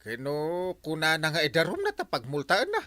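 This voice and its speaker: A man playing an excitable, scolding but forgiving village captain in an audio drama, with an Ilocano accent. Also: on the high side, normal speed, no echo, full character voice. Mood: exasperated. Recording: very noisy track with background ambience.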